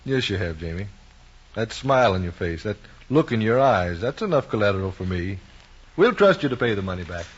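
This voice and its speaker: A man's voice: lowish voice, very animated, a little fast, husky. A man playing a genial, fatherly small-town bank president, with an American accent and a folksy, avuncular manner. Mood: kindly. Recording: dull, lo-fi vintage recording.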